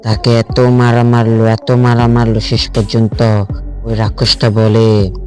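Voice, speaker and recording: A man's voice: medium pitch, completely flat delivery, measured pace, darkish tone, faint music behind. A man narrating a children's cartoon as a scripted story.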